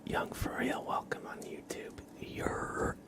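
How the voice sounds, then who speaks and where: An American man hosting an ASMR livestream, speaking in a soft, breathy whisper with an unhurried, gently reassuring manner. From East Coast of the United States, near New York. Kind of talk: whispered ASMR, casual livestream chat and card reading.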